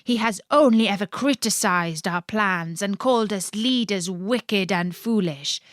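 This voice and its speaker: A woman's voice: mid-range voice, measured pace, no room sound, clean studio sound, lightly acted. A woman playing a wily, cold Greek male leader in a storybook myth, scheming and declaiming. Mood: scornful, scheming.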